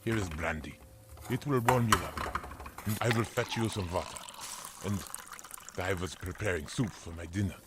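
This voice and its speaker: An actor playing a kindly, patient German village priest in a family audio drama, gentle and measured in manner. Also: male voice, deep voice, very noisy, natural voice. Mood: gentle, concerned. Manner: gentle, measured, formal, explanatory.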